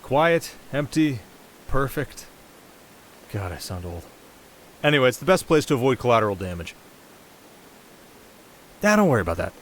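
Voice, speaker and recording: Guy doing a cocky teasing winter spirit for asmr roleplay, sarcastic, pitch jumps around a lot, some ambient noise behind him. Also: very animated, moderate pace, pauses often, lightly acted. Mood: playful, casual, then showing off. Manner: sarcastic, flippant, casual banter. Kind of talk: ASMR roleplay acting, addressed to the listener.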